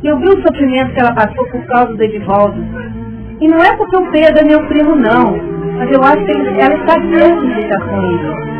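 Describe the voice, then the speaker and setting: A woman's voice: high-pitched, very animated, fast talker, distant mic. A woman with a Brazilian accent acting a humble, emotional heroine in an old radio soap. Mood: confiding. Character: Tereza, humble, hard-working woman being courted. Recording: dull old radio recording. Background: music.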